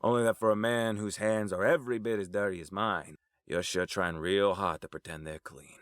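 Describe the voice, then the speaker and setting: A man's voice: lowish voice, fairly even intonation, moderate pace, fairly bright tone, no echo, clean studio sound, lightly acted. A man playing a proud, menacing crime boss in an audio drama, indignant and confrontational. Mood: bitter menace.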